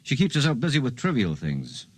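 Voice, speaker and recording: A man's voice: mid-range voice, huge pitch swings, brisk, no echo. An actor playing a skeptical, troubled professional man in a 1950s radio drama, talking in blunt, colloquial, questioning lines. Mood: troubled, earnest. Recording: 1950s radio broadcast recording.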